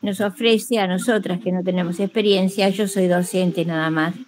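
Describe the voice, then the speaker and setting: Halting, modest Argentine woman. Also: fairly low pitch, fairly even intonation, crowd noise. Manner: halting, modest, many filler words. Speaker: workshop participant, teacher. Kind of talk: casual personal account. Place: online group call.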